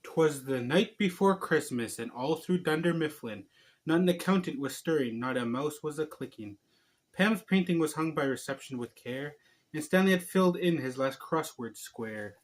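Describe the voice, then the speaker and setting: A man's voice: fairly high pitch, animated, moderate pace. A man hosting a homemade video, chatting casually and reading a storybook aloud in an informal, slightly stumbling way. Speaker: online video host and storybook reader. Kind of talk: casual vlog talk and amateur read-aloud.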